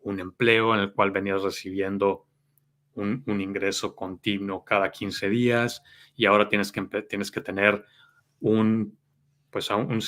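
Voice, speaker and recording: Low-voiced Mexican man coaching. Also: fairly bright tone. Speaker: life coach giving a talk. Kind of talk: motivational self-help talk.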